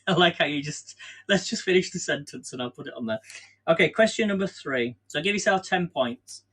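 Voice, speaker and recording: A man's voice: fairly high pitch, expressive, normal speed, a bit bright, husky. An English man hosting a casual live stream from his home kitchen, chatting and running a quiz while he bakes, rambling and self-deprecating with frequent laughs. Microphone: camera on a stand.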